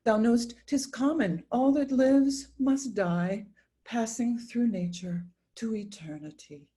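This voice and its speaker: A woman playing a middle-aged queen and mother, gently entreating in a dramatic reading of Shakespearean verse. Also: a little high, slow, breathy edge. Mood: gently urging. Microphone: video call.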